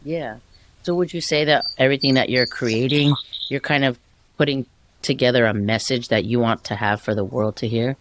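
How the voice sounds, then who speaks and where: A woman's voice: rumbling low, normal speed, a little hiss or hum. A young woman from the Los Angeles area hosting a podcast interview, asking follow-up questions and sharing her own story. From Los Angeles area, USA. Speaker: podcast host. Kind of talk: conversational interview.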